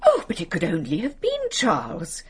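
An actress playing a fussy, genteel English lady companion in a radio drama, hesitant, apologetic and gossipy, with a breathy English voice. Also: fairly low pitch, wildly swooping pitch, normal speed, a little hiss or hum. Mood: flustered, confiding. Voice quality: breathy, husky. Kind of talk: British radio drama acting.

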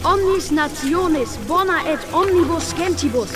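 A woman playing an earnest young schoolboy scholar reciting a formal, measured oration in a radio drama. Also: very high voice, over music. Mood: solemn.

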